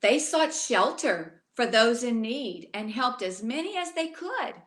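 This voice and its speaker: Woman storyteller for children's picture book: slow, expressive delivery, talks straight to kids, roomy sound. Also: on the high side. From Louisiana. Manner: expressive, addresses young listeners directly. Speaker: children's author reading aloud. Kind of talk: bedtime story read aloud to children.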